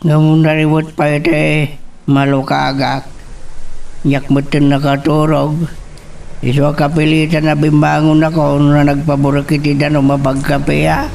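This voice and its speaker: A man's voice: a little high, completely flat delivery, measured pace, gravelly, darkish tone, far from the mic. A Filipino man playing a tired, caring, aging father in a radio drama. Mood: weary, caring.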